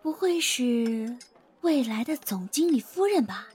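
A woman's voice: fairly high pitch, animated, measured pace, darkish tone, slightly rough, exaggerated voice. A woman playing a catty office gossip in a modern audio drama, snide and insinuating. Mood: snide curiosity.

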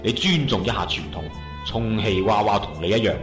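A man's voice: lowish voice, brisk, a bit bright, lightly acted. A man voicing a proud, stubborn gang boss in a comedy radio drama, swinging from hurt to stern lecturing. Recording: echoey room with music underneath.

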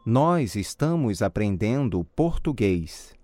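A man giving scripted model answers in a language drill with careful, deliberate enunciation. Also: lowish voice, big pitch swings, a little mellow, a little hiss or hum. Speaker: language course model speaker.

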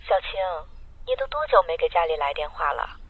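A woman's voice: low voice, sing-song, fairly quick, muffled recording, slight background noise. An actress playing a fretful middle-aged mother who pleads and nags in an audio drama. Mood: reproachful. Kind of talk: Chinese amateur audio drama acting.

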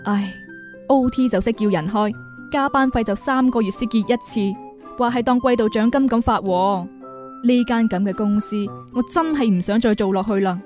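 Actress as overworked young female office worker, radio drama, higher pitch, grumbling and sighing to herself. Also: normal speed, a little mellow, soft background music, natural voice. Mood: weary, grumbling. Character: weary, grumbling young female office clerk working overtime. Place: radio studio.

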